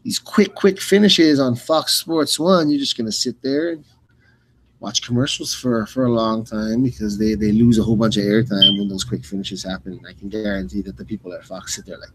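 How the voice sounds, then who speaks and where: Halting man commentating casually, noisy background. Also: lowish voice, fairly even intonation. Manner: rambling, halting, repeats words mid-sentence. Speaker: radio play-by-play host. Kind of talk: casual, unscripted fight commentary.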